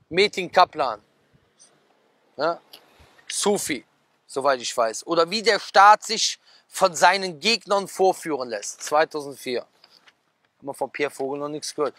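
A man in his thirties, a preacher, sitting at a garden table outside, reading out headlines and breaking into animated asides with questions to his listeners. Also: fairly high pitch, no echo. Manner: animated, gesturing, quick asides with rhetorical questions. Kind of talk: casual talk, reading out magazine headlines. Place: outdoors at a garden table, sunny.

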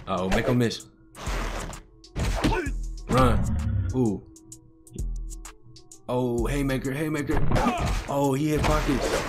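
A man's voice: mid-range voice, slow, long pauses, loud music behind the voice. A young American man giving casual, slangy reaction commentary as a gaming video host. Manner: slangy, informal.

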